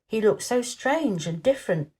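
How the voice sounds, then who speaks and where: A woman reads a children's novel as a storyteller. Her voice sits at a middling pitch, and the narration is expressive and scripted. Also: normal speed, husky.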